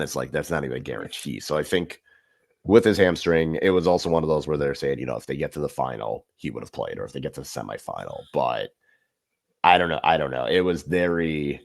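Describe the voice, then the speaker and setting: A man's voice: a bass voice, gravelly, no echo, clean studio sound. A man co-hosting a podcast, chatting loosely about football with many fillers and restarts. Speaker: podcast co-host. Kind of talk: casual sports chat.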